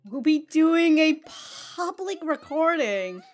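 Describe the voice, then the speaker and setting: A woman's voice: sits high, expressive, slow pace. A young woman hosting an anime reaction, chatting casually and bluntly, laughing often and swearing now and then, close to a studio mic in a small room. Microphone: studio mic on stand close to the face. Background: faint anime music and audio.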